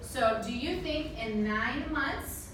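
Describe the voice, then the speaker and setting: A woman's voice: high voice, lively intonation, slow pace. A woman teaching a class, asking her listeners questions and checking with them often. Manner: asks the audience questions and checks with "right?". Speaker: teacher giving a talk to language students. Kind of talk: interactive classroom talk. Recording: echoey room, distant mic, noise.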